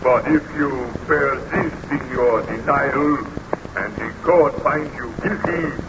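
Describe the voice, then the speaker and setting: A man's voice: medium pitch, slow, lightly acted. A man playing a stern, stubborn burgomaster in a 1930s radio serial, formal, officious and accusatory. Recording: dull, noisy vintage radio recording.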